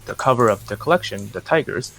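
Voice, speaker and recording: A man representing a project, talking through his business plans in a casual interview, measured and full of fillers and restarts. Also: medium pitch, lively intonation, fairly bright tone, faint music behind.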